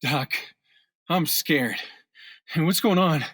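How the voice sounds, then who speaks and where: Guy acting scared agent in a radio play, slowish and hesitant, slightly high voice. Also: a bit bright, full character voice. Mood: scared, uneasy. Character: frightened male secret service agent, shaken patient.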